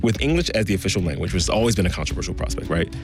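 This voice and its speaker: Wry, fast-talking male podcast host. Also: low voice, lively intonation, music in the background. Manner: quick, wry and incredulous. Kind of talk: conversational news podcast.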